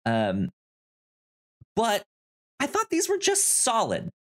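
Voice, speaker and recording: A podcast co-host chatting casually about reviews, often repeating and restarting words in a halting way. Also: mid-range voice, wildly swooping pitch.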